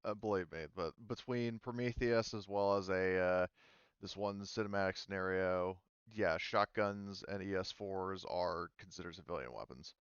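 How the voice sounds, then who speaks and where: Muffled, smooth low-voiced man running a game. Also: no room sound. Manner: rambling, halting explanations. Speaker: game master. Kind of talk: casual tabletop game talk.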